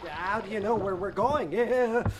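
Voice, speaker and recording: A woman's voice: mid-range voice, measured pace, very noisy, close to an everyday voice. A woman playing a timid, henpecked bookkeeper husband in a radio play, hesitant and nervous. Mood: nervous, meek.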